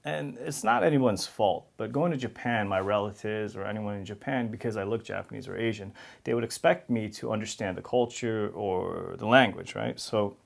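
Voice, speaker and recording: Interview guest: New man, low gravelly voice, candid and easygoing, laughs through anecdotes. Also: normal speed. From New York City. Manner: candid, laughs while telling stories. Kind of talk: casual interview conversation.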